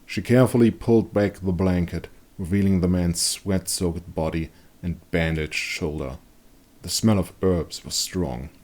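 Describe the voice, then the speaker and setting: A man narrating a dark fantasy story as a dramatic audiobook reading. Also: low-pitched, measured pace.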